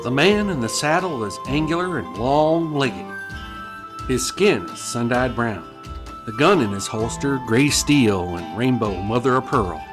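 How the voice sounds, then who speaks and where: A man giving measured, poetic narration for an old-time Western radio play. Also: medium pitch, animated, lightly acted. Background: guitar music.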